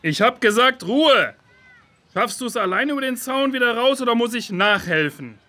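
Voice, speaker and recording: An actor playing a grim, bossy pool cashier in a children's audio drama. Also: male voice, very high voice, animated, moderate pace, sharp tone, full character voice. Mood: stern, angry.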